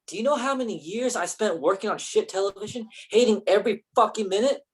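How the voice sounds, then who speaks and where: A woman's voice: mid-range voice, fast talker, slightly rough, close to an everyday voice. A woman in a staged play reading, playing a defensive, ambitious male film actor with a casual, profane manner. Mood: defensive, angry.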